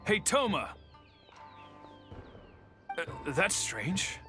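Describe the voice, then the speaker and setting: Higher-voiced, hesitant junior samurai. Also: male voice, very animated, drawn-out words, music in the background, heavily acted. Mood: puzzled. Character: naive, hesitant junior male samurai, hopeful and anxious. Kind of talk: video game voice acting.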